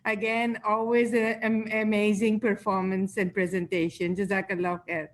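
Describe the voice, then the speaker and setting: A woman's voice: medium pitch, flat delivery, normal speed. A woman in the audience giving thanks and formal greetings on a video call. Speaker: audience member.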